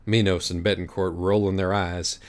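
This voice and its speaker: A man reading a comic story aloud as an audiobook narrator, in a deadpan, wry manner. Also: low voice, normal speed.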